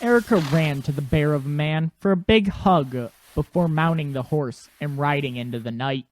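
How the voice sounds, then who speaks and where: A woman's voice: low voice, normal speed, darkish tone, slight background noise. A woman reading scripted narration for an audio drama.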